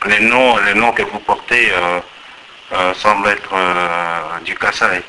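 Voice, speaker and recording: A man from the Congo with a Congolese accent, interviewing in a halting way and repeating words mid-phrase as he builds his questions. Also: low-pitched, fairly even intonation, noisy background. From Democratic Republic of the Congo.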